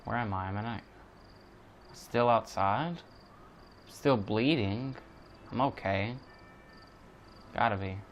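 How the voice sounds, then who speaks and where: A man's voice: lowish voice, slow, long pauses, slight background noise. A man giving casual, joking, off-the-cuff commentary as he hosts a gaming video.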